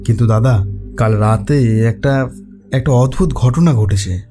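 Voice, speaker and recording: A man's voice: mid-range voice, unhurried, over music, lightly acted. An actor voicing a respectful, hesitant male renovation supervisor in a horror cartoon, uneasy and deferential. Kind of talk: Bengali horror cartoon dialogue.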